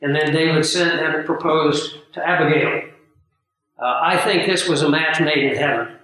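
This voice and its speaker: Male preacher, hoarse and scratchy, high and bright, earnest and emphatic, heard from a distance. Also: fairly even intonation. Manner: earnest, emphatic, unhurried teaching with pauses. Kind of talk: sermon teaching with live audience. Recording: very echoey room, voice far from mic.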